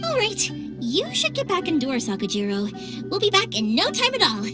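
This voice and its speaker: A young video game firework maker with a very high, wildly animated voice. She is bubbly and energetic, chatty and kind-hearted in every line. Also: normal speed, over music, exaggerated voice. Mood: upbeat, reassuring.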